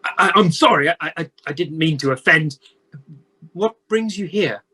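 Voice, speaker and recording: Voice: mid-range voice, lively intonation, normal speed, sharp tone, slightly rough. An actor playing a grumpy, flustered old male miser in a radio play, blustering and stammering. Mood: nervous bluster.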